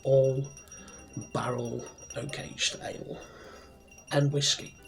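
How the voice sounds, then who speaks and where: A man playing a friendly, proud tavern barkeep in a fantasy ASMR roleplay, speaking softly and breathily, often near a whisper, in an unhurried, rambling way. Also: mid-range voice, flat delivery, gravelly, slight background noise. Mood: gentle, chatty, proud. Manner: unhurried, chatty, rambling with pauses. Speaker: roleplay performer. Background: soft music and tavern ambience.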